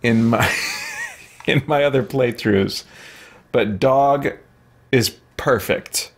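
A man's voice: medium pitch, slow, slight background noise. A man in his thirties hosting a let's-play with casual, chatty commentary. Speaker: host of a gameplay stream. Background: game music.

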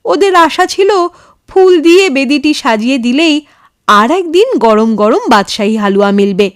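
Female audio-story narrator: high voice, unhurried pace, dramatic and suspenseful delivery. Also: no echo, studio quality. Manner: expressive, suspenseful.

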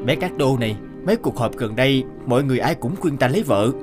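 An earnest young king from a children's cartoon, acted by a man. He speaks formally, with lively intonation. Music plays underneath. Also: mid-range voice, fast, lightly acted. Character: young king confiding earnestly in his attendant. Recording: music behind the voice.

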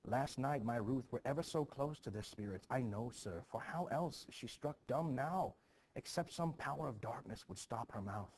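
A man's voice: medium pitch, lively intonation, normal speed, darkish tone, slightly rough, rough recording. A man reading the part of a grieving, superstitious village mother in a stage play, ominous and intense, in archaic phrasing. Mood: insistent.

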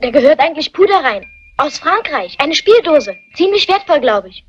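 A woman's voice: high voice, very animated, moderate pace, slight background noise. A female performer playing a cheeky young child in a crime film. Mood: casual, cheeky. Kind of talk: German dubbed crime film dialogue. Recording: dull, muffled old film soundtrack.

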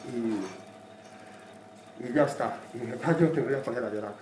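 A man's voice: medium pitch, measured pace. A man in his thirties from Spain, the host of a channel, giving a defiant, emotional welcome speech into a handheld mic in a small room. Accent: Spanish from Spain. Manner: passionate, defiant. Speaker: channel host opening an event. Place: small indoor meeting room. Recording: echoey room, mic at a distance, faint noise.

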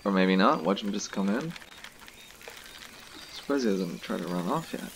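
A young man giving relaxed, casual commentary to viewers while he plays a fishing game. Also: low-pitched, normal speed, slight background noise. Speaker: gaming video host. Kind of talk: casual let's-play commentary.

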